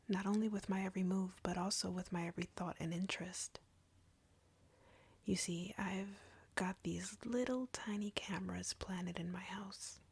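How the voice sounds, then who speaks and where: Woman acting a whispering, husky, taunting vampire seductress. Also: lowish voice, full character voice. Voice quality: breathy, husky. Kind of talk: intimate scripted audio roleplay addressed to the listener.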